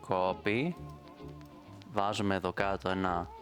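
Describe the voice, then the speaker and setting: A man's voice: low voice, fairly even intonation, music in the background. A man giving a casual, rambling, playful screen-recorded tutorial.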